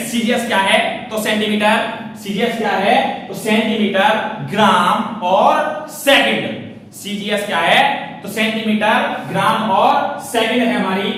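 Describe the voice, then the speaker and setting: A man's voice: sits high, a bit bright. A man teaching like a classroom lecturer, asking questions, answering them himself and repeating key points. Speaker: teacher for competitive exam students. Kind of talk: classroom lecture on physics, writing as he explains. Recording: roomy echo, distant mic, faint noise.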